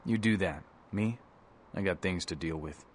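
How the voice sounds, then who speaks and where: A man's voice: low voice, moderate pace, a little hiss or hum, natural voice. A man playing a tough, laconic street rebel in a video game, terse, cool and streetwise. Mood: stern advice.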